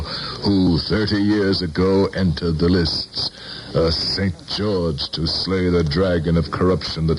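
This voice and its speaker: A man's voice: low voice, darkish tone, slight background noise, low-quality recording. An actor in a 1970s radio play, playing a weary, self-doubting politician in his sixties who speaks in a pensive, formal way. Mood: bitter, resigned. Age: 60s. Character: sixty-year-old male politician, weary, brooding, self-doubting. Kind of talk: 1970s radio drama acting. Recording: vintage radio broadcast, music behind.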